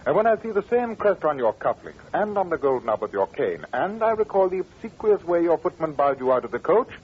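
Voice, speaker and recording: A muffled old radio recording with faint noise carries a self-assured English detective. He talks fast and precisely, with animated swings of pitch, in classic radio drama style. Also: husky. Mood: confidently deducing. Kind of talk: 1940s radio acting.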